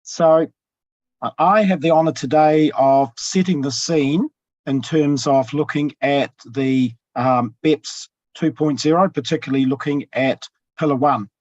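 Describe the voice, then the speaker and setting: A man with a husky voice, giving a measured academic talk over a video call, often pausing with ums. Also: fairly high pitch, a little mellow, no room sound. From New Zealand. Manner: measured, with frequent ums and pauses. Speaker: tax professor presenting. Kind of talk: academic webinar presentation. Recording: dull lo-fi video call audio.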